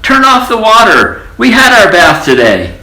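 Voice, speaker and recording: A man voicing indignant townspeople calling out loudly in a children's story, in an echoey room. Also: wildly swooping pitch, measured pace, full character voice. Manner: loud, high, protesting calls. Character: indignant, scolding townspeople and neighbours.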